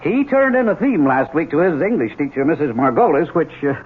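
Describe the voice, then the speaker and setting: Nervous apologetic american gym teacher, old radio drama, husky, highish, very up and down. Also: male voice, very animated, brisk. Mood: flustered, evasive. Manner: hesitant, apologetic. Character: uneasy, polite gym teacher, apologetic man. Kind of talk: 1970s radio drama acting. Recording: thin, phone-like old radio sound.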